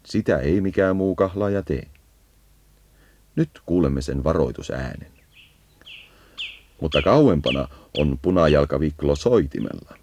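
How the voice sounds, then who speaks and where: A man's voice: a bass voice, lively intonation, pauses often, darkish tone, a little hiss or hum. A man narrating a scripted educational birdsong guide, leading the listener as if on a field walk.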